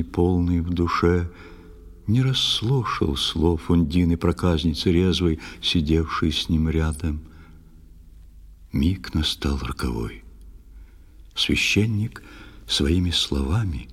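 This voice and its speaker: A man narrating a verse tale in a dramatic audio play, solemn and expressive, with measured phrasing. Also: low voice. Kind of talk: dramatic verse storytelling for children. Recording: music under the voice. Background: music.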